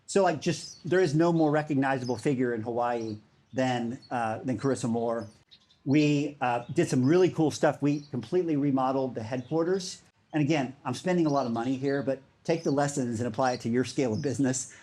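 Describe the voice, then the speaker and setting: A man's voice: medium pitch, fairly quick, warm tone. A man giving a conference talk in a casual, enthusiastic way, with many fillers. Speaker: speaker.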